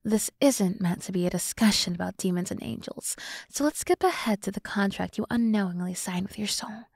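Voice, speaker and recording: A woman playing a sultry, teasing demoness in an ASMR roleplay, speaking in a soft half-whisper with slow, condescendingly soothing deliberation, close to the microphone. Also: mid-range voice, vocal fry, slightly rough, no room sound. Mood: scornful, menacing. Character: sultry, teasing demoness summoned to serve a human.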